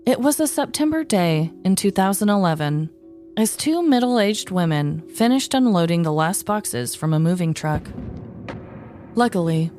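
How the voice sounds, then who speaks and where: A woman co-hosting a comedy podcast, casual and playfully expressive as she chats and tells stories. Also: medium pitch. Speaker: podcast co-host. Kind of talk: casual comedic chat and storytelling.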